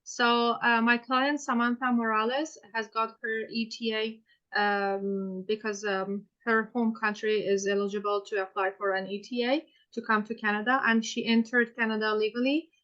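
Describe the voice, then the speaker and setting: A woman questions a client in a mock hearing, pausing often and restarting her sentences. Her voice sits fairly high. The recording is dull and muffled. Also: little pitch movement, fairly bright tone. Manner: hesitant, many fillers and restarts. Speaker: student playing counsel in a mock hearing.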